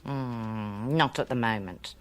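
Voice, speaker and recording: A woman's voice: a bass voice, takes it slowly, smooth. An actress playing a self-important, gossipy clairvoyant woman with a haughty, theatrical manner in a radio drama. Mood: indignant. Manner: haughty, theatrical, mysterious whispers.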